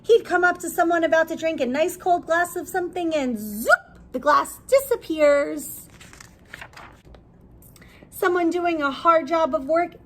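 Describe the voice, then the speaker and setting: A woman reads a picture book to young children in a high, very animated voice. Her tone has a creaky, husky grain, and she explains things simply as she goes. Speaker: preschool teacher reading aloud.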